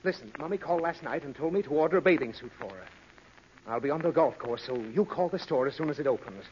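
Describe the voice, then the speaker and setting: A man's voice: a little high, animated, fast talker, a little mellow, lightly acted. An actor playing a harried, exasperated father in 1930s radio comedy, blustering and whining. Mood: hurried, instructing. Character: harried, exasperated father, quick to threaten spankings. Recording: dull, noisy 1930s radio recording.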